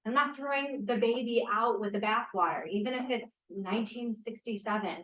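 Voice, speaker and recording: A woman reading the part of a confident, principled young scientist in a period drama, measured and earnest. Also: on the high side, a bit bright, distant mic, close to an everyday voice. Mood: earnest, firm. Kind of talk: table read of a period drama. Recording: echoey room.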